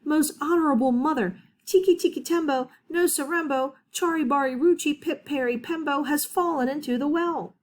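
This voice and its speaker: A woman's voice: on the high side, lively intonation, measured pace, few pauses, a little mellow. A woman reading a storybook aloud, voicing a small boy who pleads urgently and pants for breath. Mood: breathless.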